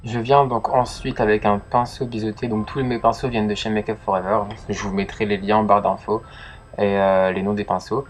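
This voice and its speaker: Young man with a cold, low voice. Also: level tone, brisk, a little hiss or hum. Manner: hesitant, many fillers. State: congested with a cold. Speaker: makeup vlogger. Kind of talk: casual makeup tutorial.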